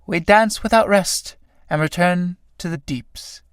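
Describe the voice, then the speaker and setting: A woman's voice: measured pace, smooth, no room sound, clean studio sound, natural voice. A woman voicing a chorus of doomed moths. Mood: chanting. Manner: low, hushed, chanting recitation.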